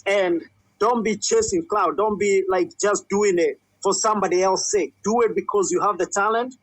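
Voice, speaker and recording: A man's voice: high-pitched, normal speed, fairly bright tone, dry recording, low-quality recording. A man from Kenya, a musician and comedian, answering interview questions earnestly and repeating his advice for emphasis.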